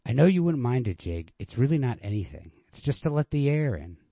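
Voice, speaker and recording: A man's voice: medium pitch, big pitch swings, brisk, dark tone, breathy edge, no room sound, voice pushed up. A man voicing a defensive, insistent, coaxing male lover in storybook dialogue, persuasive and dismissive. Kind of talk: storybook read-aloud dialogue.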